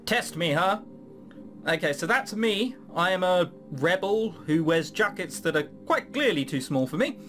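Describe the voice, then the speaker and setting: A very animated gaming host with a mid-pitched voice gives casual, sardonic commentary. The delivery swings up and down in incredulous, sweary asides, with faint music underneath. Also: a little slow. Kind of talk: casual let's play commentary, reading game dialogue aloud.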